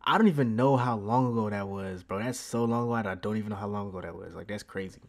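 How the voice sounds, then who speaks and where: A man comments casually on a stream clip, slipping into slang and laughing along. He talks quickly in a fairly low voice. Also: a little hiss or hum. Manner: laid-back slang, laughs often.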